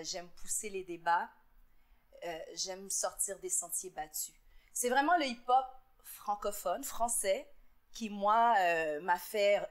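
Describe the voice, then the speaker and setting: A woman from Montreal with a Québécois accent, answering interview questions in an earnest, reflective way, often pausing with fillers and repeating words. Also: medium pitch. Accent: Québécois French. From Montreal, Quebec. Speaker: interviewee, entrepreneur.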